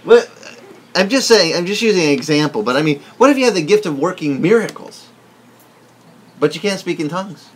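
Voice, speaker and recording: A middle-aged man whose first language is Italian, preaching an animated teaching sermon indoors and asking the room questions. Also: sits high, fairly quick, breathy edge. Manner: animated, gesturing broadly, asks the room questions. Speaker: pastor. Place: wood-paneled indoor room.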